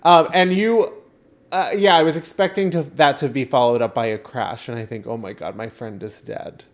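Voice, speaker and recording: A man with a mid-pitched voice chats as a podcast co-host. He tells comedic stories in an animated, dramatic manner, voicing people's reactions as he goes. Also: normal speed. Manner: animated, dramatic storyteller who acts out reactions and quotes. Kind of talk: casual comedic storytelling chat.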